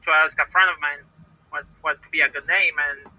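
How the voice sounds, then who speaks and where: Halting Puerto Rican woman, bright tense voice. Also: deep voice, steady tone, no room sound, poor audio. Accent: Puerto Rican Spanish. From Puerto Rico. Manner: hesitant, halting, many repeated words and fillers. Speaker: professional wrestler, podcast guest. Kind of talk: casual interview answers.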